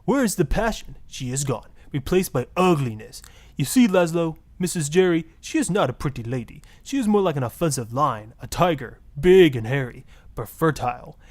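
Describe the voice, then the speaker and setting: A man's voice: a little high, lively intonation, moderate pace, natural voice. A man voicing a self-righteous, grandiose marriage guru with a put-on Latin accent on a parody radio talk show. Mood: earnest, dramatic. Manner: grandiose, preachy, easily offended.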